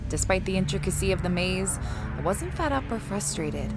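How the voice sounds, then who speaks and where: A woman's voice: mid-range voice, very animated, moderate pace, loud music behind the voice, natural voice. A woman playing a space explorer who recounts a strange expedition in a conversational, first-person audio drama style. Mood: reflective, curious. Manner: reflective, conversational storytelling.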